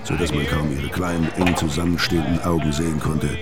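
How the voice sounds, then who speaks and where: A man's voice: a bass voice, flat delivery, a little fast. An actor playing a world-weary, middle-aged hitman who narrates his crime story in a laconic, brooding way in a radio drama. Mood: brooding, bitter. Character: world-weary dying male syndicate killer, hard and laconic. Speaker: first-person narrator and lead actor. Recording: studio radio play with loud music underneath. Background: loud music.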